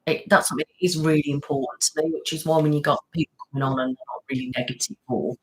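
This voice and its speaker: A woman's voice: low-pitched, fairly even intonation, fast talker, poor audio. A middle-aged British woman, an ASMR channel host, chatting casually on a live stream and answering viewers, rambling with many fillers. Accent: British English.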